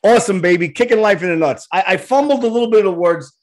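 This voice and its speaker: A higher-pitched man in his fifties talks with a New York accent, full of streetwise, joking banter as he hosts. He speaks into a headset on a video call from a home studio. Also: fast. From Queens, New York. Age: 50s. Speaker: host. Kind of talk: casual interview chat.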